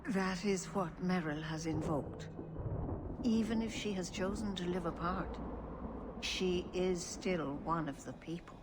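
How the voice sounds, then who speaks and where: An actress playing a calm, stern yet caring older elven clan leader in a fantasy game, speaking formally. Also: female voice, fairly low pitch, noisy background, close to an everyday voice. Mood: solemn, disapproving. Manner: measured, formal. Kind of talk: fantasy video game voice acting.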